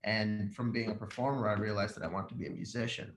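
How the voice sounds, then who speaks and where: Male, twenties, native Arabic speaker, animated casual storyteller on a video call, roomy sound with faint noise. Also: on the low side, fast talker. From Jordan, moved to the United States as a young child. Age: 20s. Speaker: podcast guest, rock singer. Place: living room at home, indoors, on a video call. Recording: echoey room, faint background noise.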